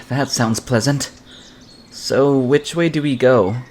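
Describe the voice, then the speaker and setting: A man's voice: mid-range voice, darkish tone, slight background noise, lightly acted. An actor playing a weary young man who sighs and makes dry, sardonic remarks. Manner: sighing, sardonic, slow.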